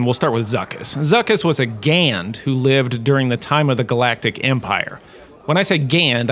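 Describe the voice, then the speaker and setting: A man's voice: mid-range voice, fairly bright tone, natural voice. Man playing a vain, pompous male professor. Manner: pompous, wry, chatty. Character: vain, self-important male professor and holodrama star. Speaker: lecturer. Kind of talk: comic in-character lecture.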